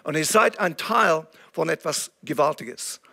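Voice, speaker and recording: A man's voice: fairly high pitch, animated, unhurried, vocal fry, bright, forceful tone, slight background noise. A man from England preaching a sermon in German with an English accent, earnest and now and then searching for words. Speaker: guest preacher.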